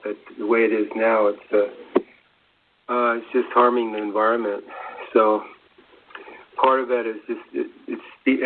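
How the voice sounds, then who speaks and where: A man giving unscripted conversational commentary as a co-presenter, halting and groping for words. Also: lowish voice. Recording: narrow phone-like sound.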